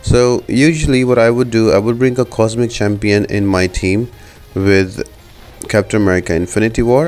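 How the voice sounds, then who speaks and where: A man with a low-pitched voice talks through a video game guide in an informal, explanatory way. Music plays behind his casual commentary. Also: a little mellow.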